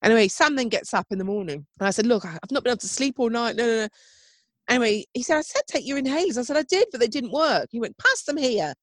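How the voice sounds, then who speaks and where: A woman's voice: fairly high pitch, animated, fast, no room sound. A woman co-hosting a podcast, a bit wheezy with a cough, telling a story in a chatty way. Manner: chatty storytelling with frequent "oh my God" and laughs. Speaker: podcast co-host. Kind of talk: casual chat.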